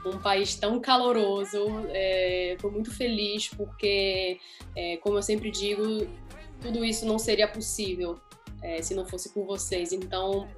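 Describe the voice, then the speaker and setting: A woman's voice: mid-range voice, fairly even intonation, a little slow, faint music behind. A young woman from Italy, Italian her first language, an upbeat singer answering interview questions in chatty, laughing style.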